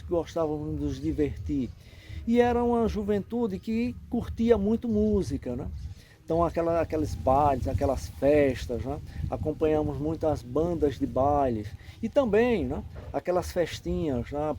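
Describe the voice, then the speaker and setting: A man's voice: a little high, noisy background. A man in his sixties with a northeastern Brazilian accent, reminiscing in an explanatory way in an interview, often adding tag questions. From backlands of northeastern Brazil. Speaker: storyteller recalling local life, professor.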